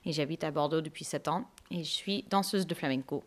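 A woman from Canada, a flamenco dancer, answering radio interview questions softly with many hesitations. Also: sits low, a little fast. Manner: soft-spoken, many hesitations, light laughs.

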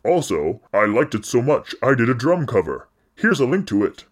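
A man's voice: mid-range voice, sing-song, moderate pace. A man co-hosting a podcast, reading listener mail with a dry, teasing delivery. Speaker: podcast co-host. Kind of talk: casual podcast chat.

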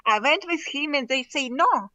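Woman guest, Russian accent, bright clear tone, dry close sound, restarts often. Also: high-pitched, expressive, no room sound. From Ukraine. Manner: halting non-native English, frequent restarts, laughs often. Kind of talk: casual interview chat.

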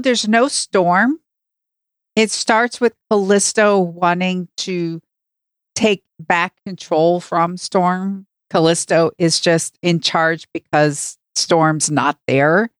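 Female podcast panelist, unhurried and clear-voiced, casual review chat. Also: medium pitch, dry recording, studio quality.

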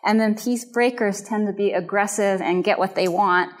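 A woman delivers a recorded teaching on counseling in an earnest, measured way. She explains each point step by step, at a middle pitch for a woman. Her background is Japanese and American. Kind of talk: recorded lecture.

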